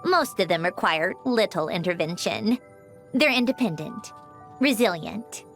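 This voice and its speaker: A woman voicing a proud, prickly, girl-like living machine with a soft heart, speaking refined lines for a game character. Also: medium pitch, animated, measured pace, faint music behind. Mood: composed, reflective. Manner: refined, haughty, turning flustered.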